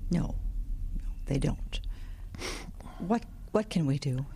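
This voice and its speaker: A woman's voice: low-pitched, lively intonation, takes it slowly, mellow, a little hiss or hum, full character voice. An actress playing a shaken, guarded churchwoman in a horror audio drama, hesitant and haunted, with an urgent edge. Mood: anxious, hesitant. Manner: hesitant, faltering, breaking into urgent pleas. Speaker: radio drama actor.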